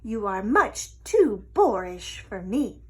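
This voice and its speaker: A woman's voice: medium pitch, sing-song, takes it slowly, breathy edge, full character voice. A woman voicing a young lady cockroach in a storybook, cool, witty and dryly polite. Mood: coolly polite. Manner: dry, coolly polite, mock-sweet. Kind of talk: storybook character voice.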